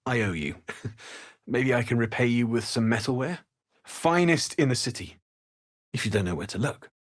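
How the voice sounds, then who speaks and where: A man playing an earnest, kindly blacksmith in a video game, weary from surviving the hells, speaking with gentle, understated sincerity. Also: mid-range voice, lively intonation, a little slow, pauses often. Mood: grateful. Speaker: video game character actor. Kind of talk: acted video game dialogue.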